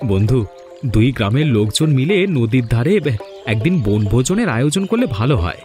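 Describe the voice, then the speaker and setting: A man's voice: medium pitch, sing-song, normal speed, darkish tone, soft background music, close to an everyday voice. A man playing a determined, encouraging village friend in a fairy-tale cartoon, with a resolute manner. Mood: content. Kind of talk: dramatic dubbed cartoon dialogue.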